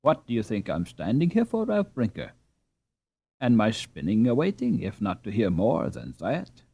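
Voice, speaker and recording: A man voicing a sharp-tongued middle-aged Dutch housewife who scolds her husband. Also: wildly swooping pitch, moderate pace, vocal fry, breathy edge, dry recording, lo-fi sound, exaggerated voice. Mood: tart. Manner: high, indignant and tart.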